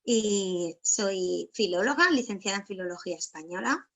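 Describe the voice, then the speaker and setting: A woman's voice: mid-range voice, expressive, moderate pace, a bit bright. A woman from Barcelona with a peninsular Spanish accent, presenting an informal online writing workshop as a philologist, explaining things and often checking for agreement with little tag questions. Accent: Spanish from Spain. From Barcelona, Spain.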